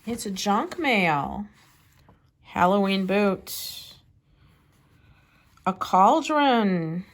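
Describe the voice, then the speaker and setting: A woman reading a children's picture book aloud with expressive, deliberate phrasing. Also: medium pitch, slight background noise.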